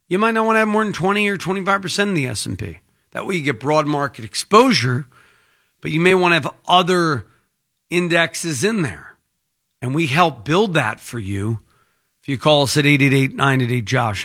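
A man's voice: on the high side, lively intonation, moderate pace, a bit bright. A man hosting a financial advice show, talking unscripted in a rambling, conversational way as if thinking aloud.

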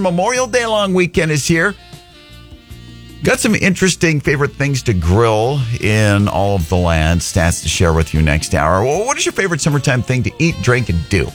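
A man hosting a morning radio show, chatty and upbeat, doing energetic live reads. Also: sits low. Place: radio studio.

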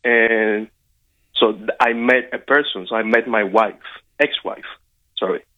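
A man's voice: fairly low pitch, fairly even intonation, unhurried. A man from Cuba with a Cuban Spanish accent, a Spanish speaker, talking as an interview guest, hesitant with many fillers and restarts. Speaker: guest, wrestling coach. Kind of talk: casual interview over a call. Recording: tinny phone-like line.

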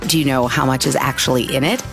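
A woman voicing radio commercials in a smooth, friendly read. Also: a bass voice, fairly even intonation, quick pace, music in the background. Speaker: ad announcer.

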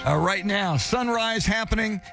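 A man's voice: high voice, a little slow, gravelly, over music. A man hosting morning radio news, chatting and joking with his co-host before reading the weather. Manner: chatty, joking, self-deprecating. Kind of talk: casual banter and news reading.

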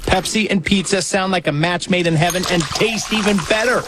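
A man's voice: high-pitched, a little fast, background noise. A man voicing a playful radio commercial, savoring every word. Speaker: voice-over announcer.

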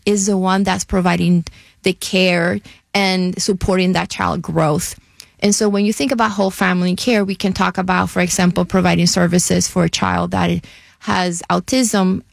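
A woman's voice: on the low side, gravelly, dry recording. A middle-aged woman with a Puerto Rican accent, speaking earnestly in an interview as a nonprofit leader, often repeating words as she goes. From Puerto Rico. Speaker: nonprofit CEO, former physician. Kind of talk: interview conversation.

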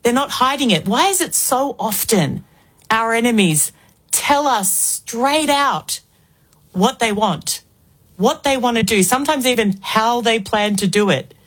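Australian woman, 40s, talk radio host; emphatic rhetorical delivery, fairly high voice. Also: expressive, a little slow, breathy edge. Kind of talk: political talk radio monologue.

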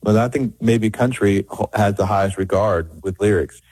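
A man's voice: on the low side, normal speed, a little mellow, slightly rough, no echo. A middle-aged man, a record producer, talking in an interview with many restarts and fillers. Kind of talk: conversational interview.

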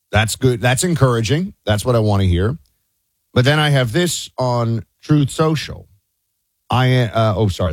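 Slightly husky American male radio host. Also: medium pitch, darkish tone, no room sound. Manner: conversational, rambling, self-interrupting. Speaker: radio talk show host. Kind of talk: off-the-cuff political commentary.

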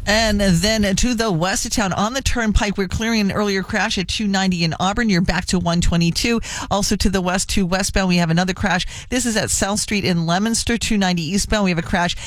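A woman traffic reporter delivering a live radio traffic report in a brisk, conversational way. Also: mid-range voice, no echo, studio quality.

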